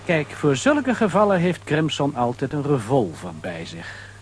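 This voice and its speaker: A man narrating a children's audio drama as an animated storyteller. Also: on the high side, unhurried, a little hiss or hum.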